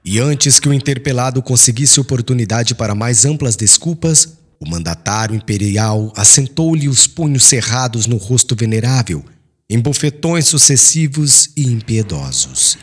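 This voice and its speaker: A man reading an audiobook as dramatic literary narration, in a solemn, formal and expressive manner. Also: mid-range voice, moderate pace. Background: background music.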